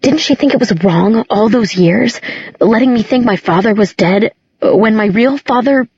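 Distraught teenage girl played by a female narrator, bitter and incredulous, slightly husky, dry close sound, audiobook drama. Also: mid-range voice, moderate pace, dry recording, highs cut off, pitched above the performer's own voice, lightly acted. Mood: bitter, questioning. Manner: incredulous, emotional, emphatic.

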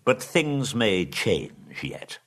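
A man voicing a commanding old wizard from a storybook, wry, slow and dryly authoritative. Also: on the low side, sing-song, exaggerated voice. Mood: disapproving. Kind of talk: storybook character voice.

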